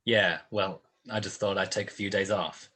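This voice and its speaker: A man playing a world-weary, sardonic private detective in a 1940s-style radio play, with a wry, curt, deadpan delivery. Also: sits low, normal speed, breathy edge, heavily acted. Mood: weary, reluctant. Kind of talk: 1940s detective radio play acting with first-person narration.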